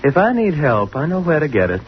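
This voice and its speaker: An actor playing a snobbish, sarcastic young fraternity president in an old-time radio drama, with a dismissive, slangy drawl. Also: male voice, on the high side, sing-song, a little fast. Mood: sarcastic, cool. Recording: muffled, noisy old radio recording.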